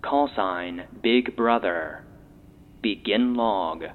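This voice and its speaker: A man voicing an impassive database announcer, reading formal logs in a measured, procedural way through a thin electronic filter. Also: on the low side. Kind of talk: formal scripted audio play narration. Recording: lo-fi phone-like filter with faint noise.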